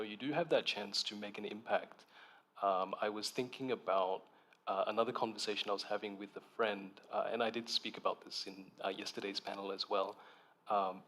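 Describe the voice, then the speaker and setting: A man's voice: on the low side, a bit bright. A man speaking as an author on a festival panel, thoughtful and reflective, with frequent ums and restarts.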